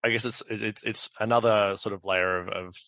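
Croaky low-voiced man. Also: steady tone, bright, forceful tone, no echo. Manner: halting, many restarts and fillers. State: croaky from a cold. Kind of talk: casual explanatory discussion.

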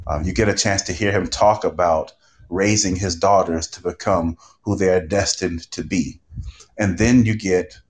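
A man with a very low voice talks on a live panel. He is earnest and reflective, building his points with emphasis like a practised storyteller. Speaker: podcast host and panel guest.

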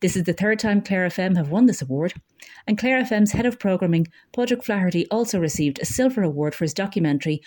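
A woman reads local community radio news from a script. Her voice sits fairly low and has a soft, mellow tone with very little edge.

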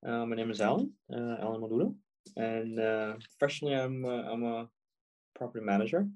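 A man speaking in a pre-recorded conversation, halting with many "uh" fillers. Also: mid-range voice, darkish tone.

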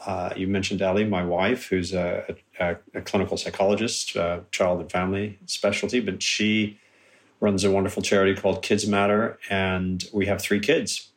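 A man in his fifties from California with a mixed American and British accent, a guest talking casually over a video call, halting with many restarts. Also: deep voice, little pitch movement. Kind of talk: casual conversation.

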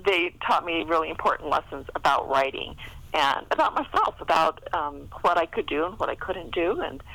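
Chatty middle-aged American woman, lower-pitched. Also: lively intonation, normal speed, gravelly, bright, forceful tone, no echo, low-quality recording. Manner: chatty, laughs often. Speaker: interview guest, historical fiction author. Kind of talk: casual interview chat, anecdotal storytelling.

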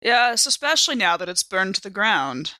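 A woman's voice: mid-range voice, sing-song, normal speed, pushed and bright, dry recording. A woman improvising casually as a player in a tabletop role-playing podcast.

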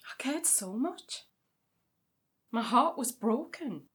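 Practical, restrained sister. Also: female voice, a little high, animated, slow pace, fairly bright tone. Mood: pained, restrained. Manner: understated, dry humour. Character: practical, self-controlled grieving sister and mother. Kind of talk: naturalistic audio drama acting, comedy and pathos.

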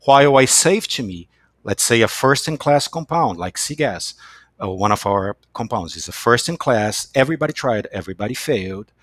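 A man with a Brazilian accent, a company chief executive answering as a podcast guest in a halting, emphatic, repeating way. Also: mid-range voice, expressive, fairly bright tone, no echo. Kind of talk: unscripted podcast interview.

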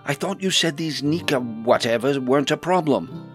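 A man's voice: mid-range voice, expressive, moderate pace, faint music behind, voice pushed up, natural voice. A man voicing a polite, earnest male hobbit in a fantasy storybook reading. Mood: puzzled, worried. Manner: courteous, earnest.

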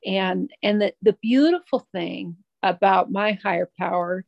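A middle-aged woman sharing her recovery story at a twelve-step meeting, halting and reflective, with many pauses and restarts. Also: medium pitch, gravelly, no room sound, dull audio. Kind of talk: personal recovery share.

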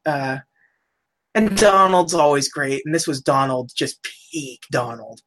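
A woman's voice: low-pitched, animated, takes it slowly, fairly bright tone, a little hiss or hum. Chatty woman who laughs a lot. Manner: chatty, laughs often, looks things up aloud. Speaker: podcast co-host. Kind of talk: casual chat about old cartoons.

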